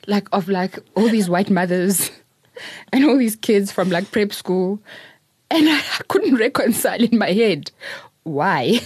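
A middle-aged South African woman, a public speaker, telling her story in an interview in an earnest, reflective way, with soft laughs. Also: mid-range voice, husky, dry recording, studio quality. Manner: earnest, repeats words, laughs softly while telling stories.

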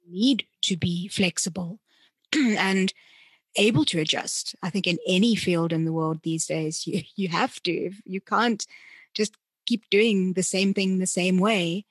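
A woman podcast host with a slightly low, husky voice, recorded close and dry. She talks in a conversational, deliberate way, often restating a phrase as she builds a question or comment. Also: no echo. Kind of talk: conversational interview.

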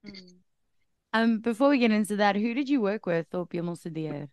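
A woman's voice: medium pitch, animated, normal speed, dry recording, studio quality. A woman hosting a radio interview, upbeat and playfully teasing her guest. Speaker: radio host.

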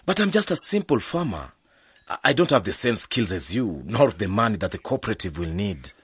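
An actor playing a humble older village farmer in a radio drama, husky and hesitant, speaking plainly. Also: male voice, on the low side, sing-song, brisk, fairly bright tone, no echo, exaggerated voice. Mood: humble, unsure.

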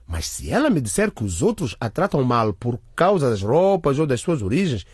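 An actor playing a grudging, suspicious middle-aged father in a radio drama, husky and grumbling, humming and hawing. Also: mid-range voice, sing-song, moderate pace, dry recording, clean studio sound. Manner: hesitant, grumbling, hums and haws.

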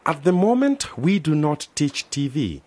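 A man's voice: fairly high pitch, sing-song, unhurried, a little mellow, husky, heavily acted. A man acting in a radio drama as a genial, helpful institute director, formal and patient as he explains things.

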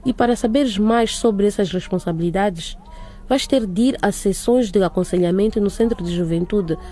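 A woman playing a female school director in a radio drama, with a mellow, unforced voice. She is stern and formal, laying down rules in a firm, measured way. Her authority is plain, yet she stays fair. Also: mid-range voice, lightly acted.

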